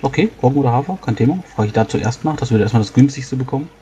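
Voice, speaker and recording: A man's voice: medium pitch, lively intonation, racing, warm tone, lightly acted. A man playing an obliging, practical food supplier in a tabletop roleplay, reckoning aloud and explaining as he goes. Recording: faint background noise.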